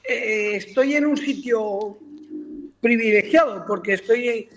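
A man phoning in to a radio show, unhurried and deadpan. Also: high-pitched, poor audio. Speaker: phone-in guest. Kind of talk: phone call on radio.

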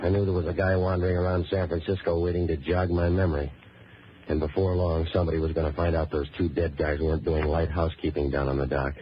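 A cynical American noir hero from a 1940s radio play talks fast in a deep voice. He tosses off deadpan, sardonic wisecracks through a muffled old recording with faint noise. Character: wisecracking, cynical waterfront boat-rental man, hardboiled noir hero. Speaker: lead actor and narrator.

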